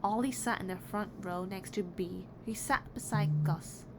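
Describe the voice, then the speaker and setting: Noisy recording, woman, mid-pitched voice, children's read-aloud narration, expressive, deliberate emphasis. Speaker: picture book reader. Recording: clearly noisy background.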